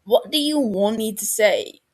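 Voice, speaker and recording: A woman's voice: on the high side, expressive, a little slow. Brief: a woman reading a crude, loyal young bloke in a storybook reading, laid-back and blunt, swears offhandedly. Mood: puzzled. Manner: laid-back, swears casually, calls friend mate.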